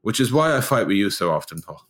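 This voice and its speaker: A man with a very deep, low-pitched voice hosts a relaxed podcast chat. He asks loose, meandering questions and fills pauses with quick agreeing murmurs. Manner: relaxed, thinks aloud with fillers, short agreeing backchannels. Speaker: podcast host asking questions. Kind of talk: casual podcast conversation.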